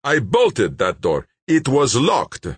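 A man voicing a rough, menacing gunman in a thriller audiobook, barking bossy threats. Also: lowish voice, big pitch swings, moderate pace, fairly bright tone, no echo, pitched above the performer's own voice, heavily acted. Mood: angry, suspicious.

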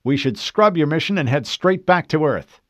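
A man's voice: on the high side, lively intonation, normal speed, a bit bright, dry recording, clean studio sound, voice pushed up. A man voicing an officious, assertive male navy lieutenant in an audiobook, speaking formally and insistently. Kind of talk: audiobook character voice.

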